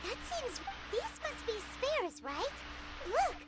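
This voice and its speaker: A woman voicing a small, excitable childlike fairy companion in a video game, with a squeaky voice. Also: slow pace, loud music behind the voice, exaggerated voice. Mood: excited, curious.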